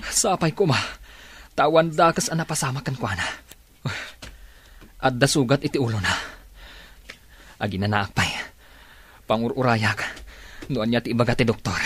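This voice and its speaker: An actor playing an excitable, worried young man in a radio drama, with an Ilocano Filipino accent and an exclamatory delivery. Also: mid-range voice, breathy edge. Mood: anxious. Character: excitable, worried young male friend of an injured surfer.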